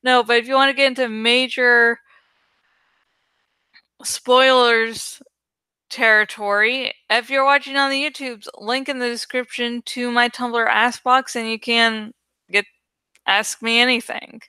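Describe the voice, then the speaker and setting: Female channel host, casual and jokey, smooth clear tone, unhurried delivery, slightly high register. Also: level tone, sharp tone, no room sound. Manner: playful, joking, swears casually, self-mocking. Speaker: host of a dramatic book-reading channel. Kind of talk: casual chatty outro addressed to viewers.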